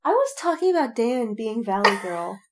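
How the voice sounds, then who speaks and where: A woman's voice: a little high, very animated, moderate pace. A woman co-hosting a podcast, chatting casually about fan interests in a loose, rambling way. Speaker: podcast co-host.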